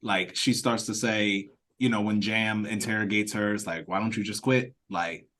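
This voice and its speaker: A man leading a script read-through on a video call, talking casually and haltingly as he thinks aloud and restarts his sentences. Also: on the low side, steady tone, a bit bright. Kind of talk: casual creative feedback discussion.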